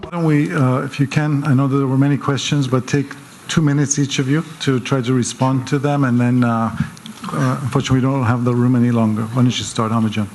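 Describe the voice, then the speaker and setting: A man's voice: mid-range voice, steady tone, darkish tone, a little hiss or hum. Man moderating audience questions. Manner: brisk, calls on speakers by name. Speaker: panel moderator. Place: conference hall.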